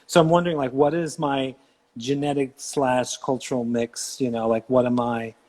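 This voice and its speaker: Mid-pitched man musing on a livestream. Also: flat delivery, normal speed, a little mellow. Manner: musing, rambling, with many fillers. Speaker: host. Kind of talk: casual livestream interview. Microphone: video call livestream.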